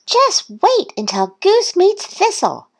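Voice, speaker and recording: A bright-spirited, high-voiced young duck acted out by a woman. The delivery is lively and enthusiastic, full of friendly admiration, as in a children's storybook. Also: slow pace, full character voice. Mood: eager, friendly. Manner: enthusiastic, happy exclaiming.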